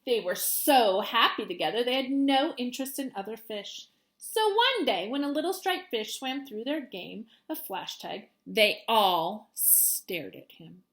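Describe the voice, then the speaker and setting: Expressive, high-voiced woman reading a storybook. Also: unhurried. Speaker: teacher reading aloud to students. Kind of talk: expressive children's storybook read-aloud.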